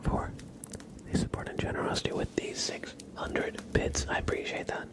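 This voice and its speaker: A man hosting a livestream, whispering ASMR-style into a close microphone as he reads out and thanks his chat. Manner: soft, slow whispering with light chuckles. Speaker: livestream host. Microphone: close microphone picking up mouth sounds.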